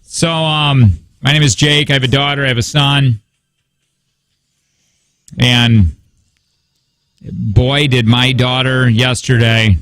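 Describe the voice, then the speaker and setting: A man's voice: medium pitch, fairly even intonation, slow pace, pauses often, a bit bright, dry recording, soft background music. A male radio host in casual studio chat, rambling with many restarts and second-guessing himself. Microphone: studio mic.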